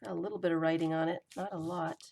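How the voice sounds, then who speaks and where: A woman crafting and talking to herself as she works, her voice going hoarse. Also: lowish voice, steady tone, quick pace, darkish tone, slight background noise. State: voice giving out, hoarse. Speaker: collage journaling vlogger. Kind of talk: casual running commentary while crafting.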